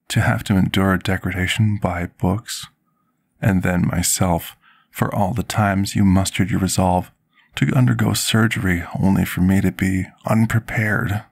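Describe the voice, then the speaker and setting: ASMR fantasy roleplay: humble male potion master played by a man, very deep, gravelly voice, measured formal delivery, apologetic and dutiful. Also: full character voice. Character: devoted, apologetic male potion master tending a dragon patient.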